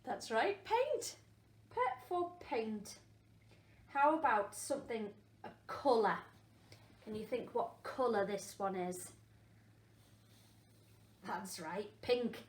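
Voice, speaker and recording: A woman, a primary school teacher, leading an interactive phonics lesson for young children, encouraging them and asking questions with pauses for answers. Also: on the high side, expressive. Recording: echoey room with faint background noise.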